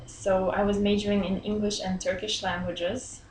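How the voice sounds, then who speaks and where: A woman's voice: mid-range voice, level tone, a little mellow. Belarusian woman in her thirties, roomy office. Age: 30s. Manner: friendly, laughs often, pauses to search for words. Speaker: interviewee. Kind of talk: casual interview chat. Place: small office indoors. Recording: roomy echo, faint room hum.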